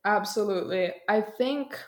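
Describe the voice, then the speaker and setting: A woman's voice: medium pitch, normal speed. A young adult woman, a student guest, telling personal experiences conversationally in a candid, thoughtful way. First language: English.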